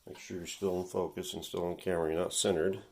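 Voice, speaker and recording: Low-voiced man with gravelly creak. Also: little pitch movement, quick pace, a little mellow. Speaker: vintage radio repair video host. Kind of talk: casual hands-on workshop demonstration. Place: indoor workshop. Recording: echoey room, faint background noise, clicks.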